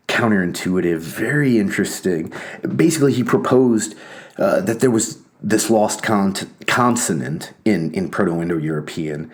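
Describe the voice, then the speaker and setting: A man talking off the cuff in a rambling, halting way, full of fillers and restarts, with a wry self-mocking streak. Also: low-pitched, a little mellow. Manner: wry, laughs at himself. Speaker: commentator on books and ideas. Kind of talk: casual monologue.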